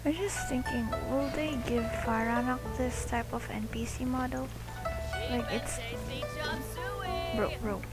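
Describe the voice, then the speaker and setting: A woman's voice: a little high, fairly even intonation, a little slow, pauses often. A woman giving casual, rambling, off-the-cuff reactions while playing a game. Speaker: gamer commenting on a playthrough. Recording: loud game music behind.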